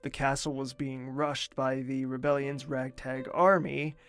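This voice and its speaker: A man playing a gentle young prince, gravelly and slow. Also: mid-range voice, level tone, faint music behind. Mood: somber. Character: gentle young male prince, kind and modest. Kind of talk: audio drama acting.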